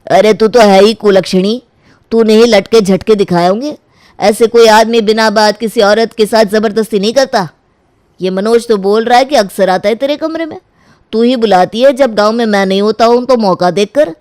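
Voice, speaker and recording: A woman's voice: fairly high pitch, moderate pace, darkish tone, natural voice. A woman playing a cruel, domineering village in-law in a melodramatic audio story, accusing and commanding with open scorn.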